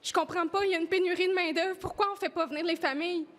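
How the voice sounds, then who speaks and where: A woman's voice: very high-pitched, steady tone, fast talker, fairly bright tone. A woman with a Québécois accent giving an earnest, emotional personal testimony at a press conference. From Quebec.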